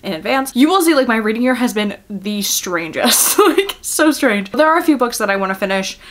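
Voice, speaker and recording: A woman's voice: a little high, animated, a little fast, fairly bright tone. Giggly young woman chatting casually. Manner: giggly, rambling, self-deprecating. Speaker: book vlogger.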